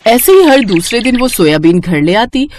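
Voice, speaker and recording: A woman's voice: fairly high pitch, very animated, moderate pace, darkish tone, slight background noise. A woman giving scripted narration of children's moral stories as a storyteller.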